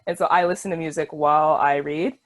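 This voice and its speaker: Enthusiastic woman interviewer, lower voice. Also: fairly even intonation, brisk. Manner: enthusiastic, says "like" often, laughs. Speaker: interviewer, book reviewer. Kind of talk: casual video-call interview.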